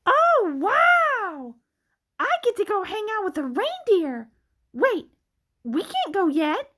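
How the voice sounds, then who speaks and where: A woman voicing a childlike, excitable little sheep in a children's puppet show, with theatrical exclamations. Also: very high-pitched, takes it slowly, exaggerated voice. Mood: excited, eager.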